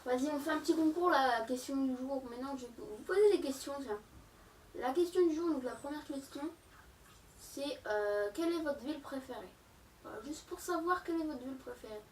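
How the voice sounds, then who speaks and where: A woman's voice: high voice, roomy sound, recorded from a distance, a little hiss or hum, rough recording. A young woman with a slight cold, chatting to her viewers through a game with lots of fillers. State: has a cold, sore throat. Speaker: gaming YouTuber. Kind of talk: casual gameplay commentary.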